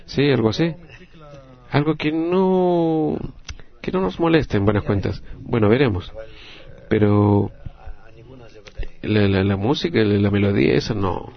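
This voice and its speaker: A man with a Latin American Spanish accent interpreting a group discussion live, in halting, phrase-by-phrase delivery. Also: medium pitch, animated, gravelly, dull audio.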